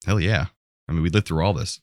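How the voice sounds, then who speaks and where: A man's voice: low-pitched, fast, darkish tone, no echo, studio quality. An American man co-hosting a podcast, talking casually and telling things in an informal, rambling way. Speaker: podcast co-host.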